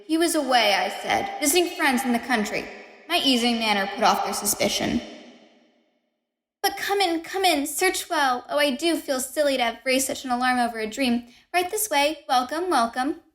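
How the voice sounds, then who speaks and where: A woman's voice: sits high, lively intonation, moderate pace, a bit bright. A woman playing an unhinged, guilty murderer who narrates with false politeness and growing paranoia in a horror radio play. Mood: falsely polite, nervous. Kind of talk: dramatic first-person horror monologue in radio play. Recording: echoey room with long reverb.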